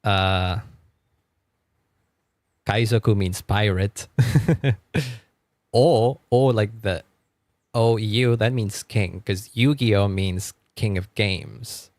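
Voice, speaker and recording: A man's voice: fairly low pitch, drawn-out words, pauses often, a little mellow, no echo. A man from Argentina, a Spanish speaker, chatting casually on a live stream. He rambles, repeats words as he stammers, and laughs often. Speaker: music streamer.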